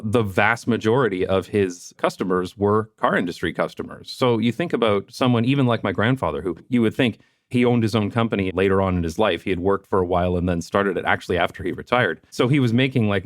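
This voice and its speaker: A man's voice: fairly low pitch, dry recording, clean studio sound. A man from Canada, hosting a podcast in an easygoing, casual way. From southwestern Ontario, Canada. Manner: easygoing, tag questions like "right?". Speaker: podcast host.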